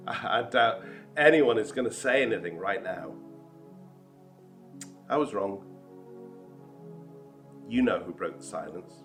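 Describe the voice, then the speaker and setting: A man playing the apostle Thomas, telling the story wryly with soft laughs. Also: on the low side, animated, slow pace, pauses often, faint music behind. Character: apostle Thomas, adult man, wry and doubtful. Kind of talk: first-person dramatic monologue.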